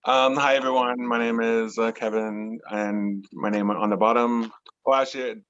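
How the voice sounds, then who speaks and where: A man from the Mohawk Nation near Cornwall, Ontario, introducing himself haltingly on a video call as a game player. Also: mid-range voice, fairly even intonation, fairly bright tone, no room sound, muffled recording. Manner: hesitant, many fillers. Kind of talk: casual self-introduction.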